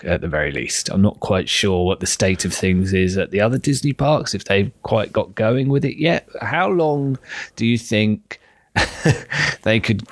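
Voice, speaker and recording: A man co-hosting a podcast in casual chat, husky from illness, chatty and wry. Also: on the low side, no room sound. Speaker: podcast co-host.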